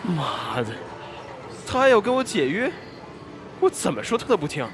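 Audio drama: indignant tipsy cheater, high pitch, extremely animated, petulant, music behind. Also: male voice, moderate pace. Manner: petulant, complaining. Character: whiny, drunken, indignant cheating boyfriend.

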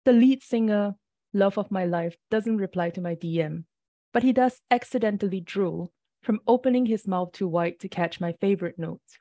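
Mellow-voiced woman reading poetry. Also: mid-range voice, no echo. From Singapore. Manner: measured, deliberate reading with brief asides. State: slightly off early-morning voice. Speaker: poet.

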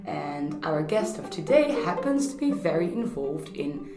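A woman's voice: sits low, very animated, unhurried, roomy sound, over music. A woman from the Netherlands hosting a podcast interview over a video call. She talks in a friendly way and laughs at her own jokes. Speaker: podcast host.

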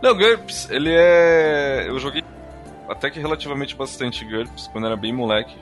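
A man's voice: mid-range voice, normal speed. A man with a Brazilian accent co-hosting a podcast, chatting informally with jokes and slang and reading listener messages. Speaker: podcast co-host. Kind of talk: casual chat, reading listener comments. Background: background music.

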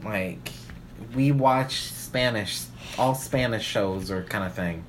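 A woman's voice: extremely low pitch, slow, slight background noise, low-quality recording. A woman chatting casually, with an opinionated, emphatic, freely swearing way of talking. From Puerto Rican family background. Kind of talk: casual banter.

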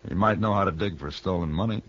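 An actor playing a cool, laconic gunfighter for hire in an American radio Western, measured, terse and firm. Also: male voice, sits low, a bit bright. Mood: cool, guarded. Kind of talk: old radio Western acting and narration. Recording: muffled vintage radio broadcast.

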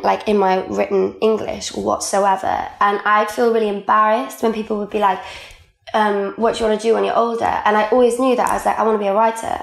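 Chatty young Welsh woman, gravelly. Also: mid-range voice, echoey room. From Wales. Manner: chatty, lots of "like" fillers. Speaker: guest. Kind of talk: casual conversational interview.

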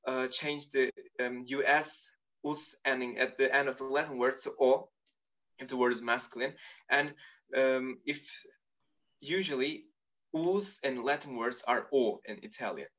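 Man, bright lo-fi voice. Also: mid-range voice. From Turkey. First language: Turkish. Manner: thoughtful, explains at length with many fillers. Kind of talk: casual video call chat.

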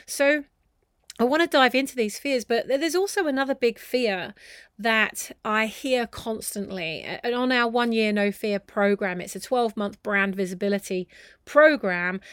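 A woman host giving a motivational coaching talk to viewers, speaking emphatically and deliberately, often building lists of repeated phrases. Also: a little high, no echo, studio quality.

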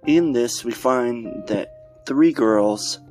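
A man's voice: medium pitch, slow pace. A man speaking as a podcast host, giving a casual introduction to a show. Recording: loud music behind the voice. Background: music.

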